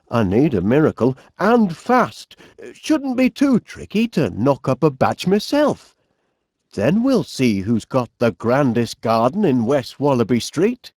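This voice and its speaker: A cheerful, eccentric middle-aged inventor from a cartoon video game. He has a high voice with a Northern English accent that swings widely up and down. He talks unhurriedly and chummily, musing aloud in genteel, old-fashioned turns of phrase. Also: darkish tone, no echo, studio quality, exaggerated voice. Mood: intrigued, determined. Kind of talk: animated video game character voice lines.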